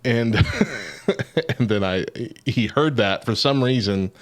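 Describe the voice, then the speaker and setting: A man's voice: mid-range voice, lively intonation, slow pace. A man co-hosting a casual live-streamed show, joking and laughing often as he talks.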